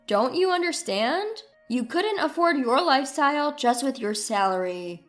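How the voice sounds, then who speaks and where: A woman's voice: high-pitched, lively intonation, moderate pace, a bit bright, faint music behind. A woman playing a scornful, newly independent ex-wife in a story, mocking and cutting. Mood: smug. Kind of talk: dramatized storytelling dialogue.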